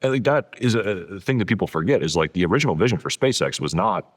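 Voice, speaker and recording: A man's voice: low-pitched, sing-song, fast talker. A man talking conversationally as an interview guest, explaining things and repeating words for emphasis. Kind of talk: conversational long-form interview talk.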